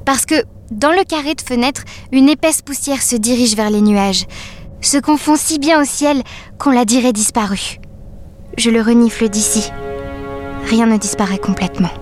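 A woman's voice: sits high, close to an everyday voice. A woman playing a watchful, hopeful toy doll who narrates an audio drama in an earnest, poetic way. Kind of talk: literary first-person audio drama narration.

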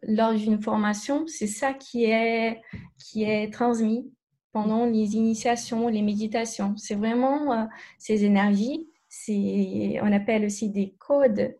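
A Brazilian woman speaking French with a Brazilian accent, a therapist guest in a podcast interview, talking hesitantly with many pauses and restarts. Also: on the high side, steady tone, dark tone. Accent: Brazilian Portuguese.

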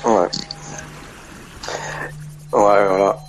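A man's voice: fairly low pitch, level tone, drawn-out words, music in the background, poor audio. A man in his forties calling into a radio show, hesitantly searching for his words as he asks a question. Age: 40s. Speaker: radio caller. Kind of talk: call-in radio chat.

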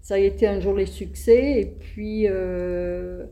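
A woman playwright with a non-native French accent, answering interview questions in a hesitant, thoughtful way with many pauses. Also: medium pitch, steady tone, mellow.

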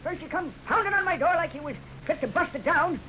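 A man's voice: very high-pitched, fast, sharp tone. An actor playing a fussy, flustered old night watchman with a querulous, folksy American voice in a 1950s radio serial. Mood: exasperated. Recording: muffled, noisy old radio recording.

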